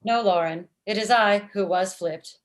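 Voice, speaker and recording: A woman's voice: medium pitch, measured pace, close to an everyday voice. Woman playing stilted, earnest female robot. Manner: stilted formal phrasing, spoken-out laughs. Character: earnest, literal-minded female robot with blunt jokes. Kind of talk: comedy table read. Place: small room at home, video call. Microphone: video call computer microphone.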